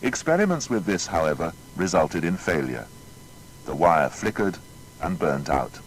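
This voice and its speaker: Deep-voiced, expressive male documentary narrator. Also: normal speed, a little hiss or hum, poor audio.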